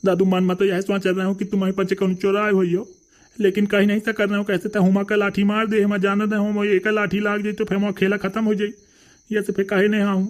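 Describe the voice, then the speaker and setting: A mild male villager, played by a man in a comedy cartoon. He talks in a fairly high, monotone voice with a Bundelkhandi accent, plain-spoken and deferential to others. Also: fairly quick, close to an everyday voice. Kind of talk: comic cartoon dialogue.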